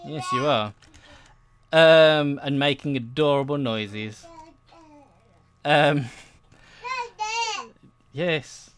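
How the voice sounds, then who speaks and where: A man's voice: a little high, extremely slow, long pauses, slight background noise. A young adult man giving casual, meandering gameplay commentary, often hesitating and interrupting himself. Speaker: gaming video host.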